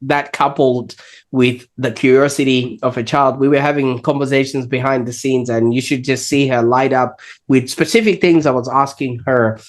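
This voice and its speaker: A man's voice: mid-range voice, steady tone, moderate pace. Enthusiastic male podcast host.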